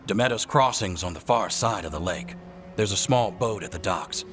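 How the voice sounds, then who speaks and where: A man playing a steady ally who gives directions in a video game drama. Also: deep voice, normal speed, faint music behind. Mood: grave, steady.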